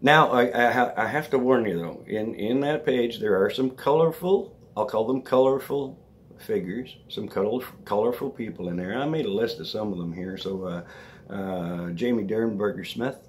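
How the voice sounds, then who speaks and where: A man hosting his own vlog, slightly raspy from allergies, talking casually and rambling, often checking in with the viewer. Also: fairly low pitch, normal speed. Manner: chatty, rambling, checks in with "all right?". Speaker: vlog host. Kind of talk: casual talk to camera.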